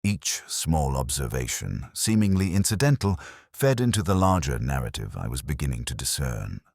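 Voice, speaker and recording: Really low male voice narrating a mystery story, measured and literary, pitch moves around a lot, dry close sound. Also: sing-song, creaky, darkish tone, dry recording. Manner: measured, literary, deliberate phrasing. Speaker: audiobook-style narrator. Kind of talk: scripted first-person detective story narration.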